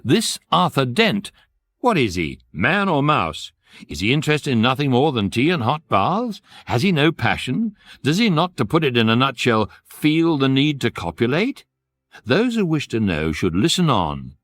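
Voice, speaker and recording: An actor playing the wry, urbane, measured narrator of a guidebook in a radio comedy, with a British accent. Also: male voice, mid-range voice, big pitch swings, a bit bright, no echo. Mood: mock-dramatic.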